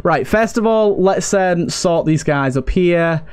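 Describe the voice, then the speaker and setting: A man's voice: high voice, expressive, slow, a little mellow, clear, resonant tone. A man giving casual let's play commentary, thinking aloud and chatting to his game characters. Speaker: gaming video host. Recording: game music behind voice. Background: game music.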